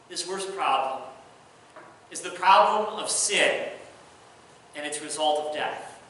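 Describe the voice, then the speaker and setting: A man in his thirties preaching a sermon from the pulpit, earnest, moving between hushed lines and raised emphasis. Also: sits high. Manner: earnest, swings from soft hush to raised emphasis. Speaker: pastor. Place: large church sanctuary, at the pulpit. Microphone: distant pulpit mic. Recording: echoey church from far off.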